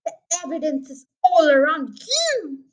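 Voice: very high-pitched, wildly swooping pitch, fairly quick, sharp tone, far from the mic, pitched above the performer's own voice, exaggerated voice. A child reader voicing a furious, pompous wealthy man who sputters with indignation in a storybook reading. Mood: indignant.

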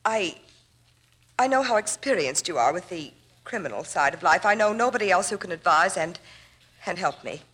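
A genteel young heiress in a radio play, anxious and formal. Her bright voice has a husky edge, and she hesitates and stammers over her words. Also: fairly low pitch, expressive, normal speed. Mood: fearful, confiding. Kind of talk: old-time radio drama acting.

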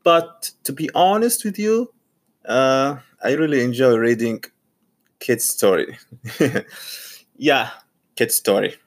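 A man from Saudi Arabia, a graduate student and teacher whose first language is Arabic, talking casually about learning English. He speaks haltingly and often repeats or restarts his phrases. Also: mid-range voice. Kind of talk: casual personal monologue.

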